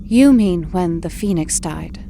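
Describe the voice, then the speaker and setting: An actress playing an anguished but determined heroine in an audio drama, with an emotional, pleading delivery. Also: female voice, lowish voice, animated, measured pace, gravelly, warm tone, a little hiss or hum. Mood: shaken. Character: anguished, determined heroine back from presumed death.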